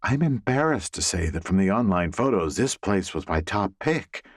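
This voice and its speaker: A man's voice: lowish voice, big pitch swings, moderate pace, no room sound, studio quality. A man voicing an anxious, dismayed young woman with an earnest, emotive delivery in an audiobook.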